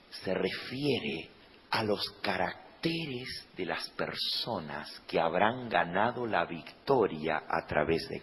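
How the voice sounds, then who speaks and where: Slow-preaching man in an echoing hall. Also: fairly low pitch, slight background noise. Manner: rising to loud emphatic peaks, asking the congregation questions. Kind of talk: sermon. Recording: reverberant hall.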